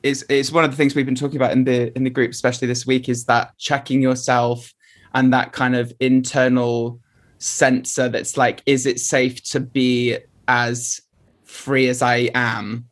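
Man, guest, earnest and encouraging, medium pitch, conversational. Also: little pitch movement, normal speed. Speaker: interview guest. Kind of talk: candid conversational interview.

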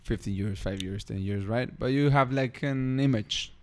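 A man's voice: medium pitch, moderate pace, slight background noise. A young adult man, a non-native English speaker, talking casually with friends on a podcast. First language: not English. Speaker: podcast participant. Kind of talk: casual conversation among friends.

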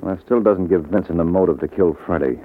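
An actor playing a wisecracking, confident private detective in a vintage radio drama. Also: male voice, low-pitched, fast, husky. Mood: probing. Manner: wry, confident. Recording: muffled narrow-band old radio, noisy.

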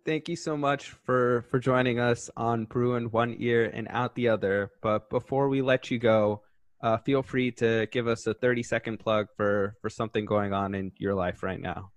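A man's voice: medium pitch, fairly even intonation, normal speed, dry recording. Man co-hosting a podcast, dry sound. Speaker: podcast co-host.

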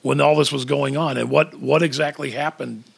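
A man interviewing a guest, asking questions in a gentle and hesitant way. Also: medium pitch, fairly even intonation, normal speed, creaky, slightly rough.

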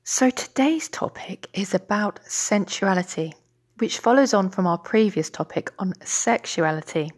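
A woman hosting a podcast, reading a scripted introduction in a measured, breathy way and then moderating a panel. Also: medium pitch, lively intonation. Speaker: podcast host.

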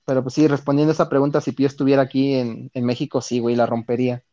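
A man's voice: mid-range voice, level tone, very fast, warm tone, no echo. A man with a Mexican accent streaming, chatting casually with viewers in slangy, profane speech. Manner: slangy, profane, reads and answers chat. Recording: dull lo-fi dry sound.

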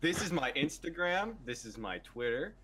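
A man's voice: on the high side, very animated, normal speed. A young man telling brash stories in a casual podcast chat and cursing freely. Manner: crude, brash storytelling. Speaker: podcast co-host. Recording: clear crowd chatter behind.

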